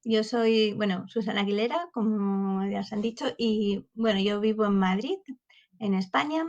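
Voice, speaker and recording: A woman's voice: medium pitch, normal speed, darkish tone. Chatty Spanish woman, muffled call. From Granada, Spain. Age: 40s. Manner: chatty, rambling with small fillers. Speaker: guest writer. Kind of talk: casual panel talk.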